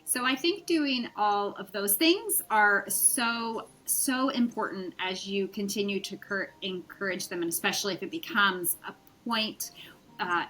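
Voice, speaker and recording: Middle-aged woman guest; bright, clear and reassuring, with a mid-range voice. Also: medium pitch, poor audio. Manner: warm, encouraging, reassuring. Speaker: guest, pilot's mother. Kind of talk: casual interview chat.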